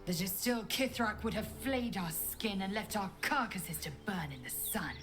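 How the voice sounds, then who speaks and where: A woman's voice: mid-range voice, expressive, normal speed, music in the background, natural voice. An actress voicing a proud, fierce githyanki warrior woman in a fantasy game, speaking in a formal, clipped, imperious way. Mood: grim resolve. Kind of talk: fantasy video game acting.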